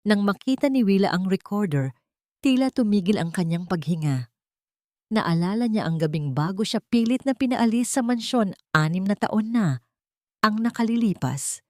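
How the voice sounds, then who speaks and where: A woman's voice: medium pitch, animated, moderate pace, warm tone, dry recording, clean studio sound. A woman narrating a scripted story in a dramatic storytelling style.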